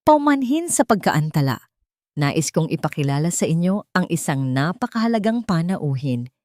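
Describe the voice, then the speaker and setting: A woman's voice: sits low, sing-song, normal speed, a little mellow, no echo, studio quality. A woman storyteller voicing an authoritative military general who makes formal, ceremonious announcements.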